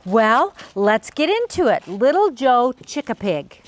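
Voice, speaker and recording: A woman reads a storybook aloud for children. Her voice sits a little high and moves animatedly up and down. She speaks expressively, as a host talking straight to young viewers. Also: very animated, a little slow, no echo. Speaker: host reading a children's picture book aloud.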